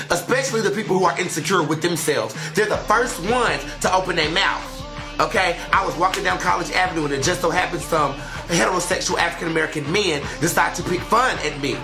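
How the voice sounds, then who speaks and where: Sassy man, radio host, slightly raspy, sing-song, echoey room, music playing clearly behind. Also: a little high. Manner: sassy, theatrical, sing-song, calls people honey. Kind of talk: flamboyant talk radio monologue. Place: radio studio.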